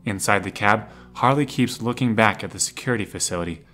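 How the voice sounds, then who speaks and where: A man with a fairly low voice reads scripted drama narration. He sets out the action in the present tense, with faint music underneath. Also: brisk, bright, forceful tone.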